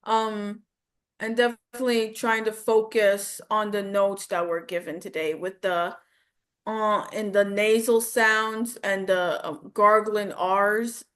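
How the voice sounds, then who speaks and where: A woman's voice: medium pitch, steady tone, takes it slowly, a bit bright. A woman speaking as a voice acting student in a casual lesson chat, often searching for her words.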